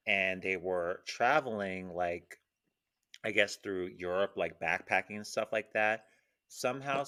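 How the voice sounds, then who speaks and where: A man's voice: low-pitched, sharp tone, clear, resonant tone. A man hosting a casual panel chat in an informal, conversational style. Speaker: host of a pop culture panel show.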